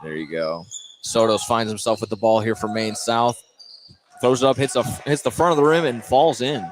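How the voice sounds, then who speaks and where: A man's voice: mid-range voice, normal speed. A man doing live play-by-play commentary of a basketball game as the announcer. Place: indoor high school gym. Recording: noisy gym ambience, bouncing balls.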